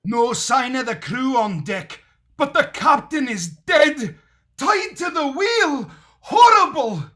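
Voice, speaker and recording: An actor playing a horrified, excitable harbor onlooker, shouting melodramatically in a 1930s-style radio play. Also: male voice, very high voice, slow pace, voice pushed up, heavily acted.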